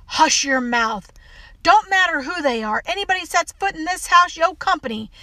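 A woman's voice: very high-pitched, expressive, normal speed, bright, forceful tone, no echo, pitched above the performer's own voice, full character voice. A woman voicing a stern, folksy housekeeper from an audiobook story, scolding sharply in an American Southern accent.

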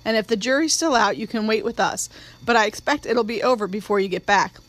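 A woman voicing a tired, measured middle-aged lawyer and father. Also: on the high side, fairly bright tone, close to an everyday voice, rough recording. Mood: relenting. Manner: measured, clipped.

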